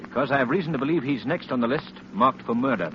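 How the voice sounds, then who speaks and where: An actor playing a suave, self-assured gentleman sleuth, measured and dryly teasing, in 1930s radio style. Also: mid-range voice, expressive, lightly acted. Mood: brisk, teasing. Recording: noisy, muffled 1930s radio recording.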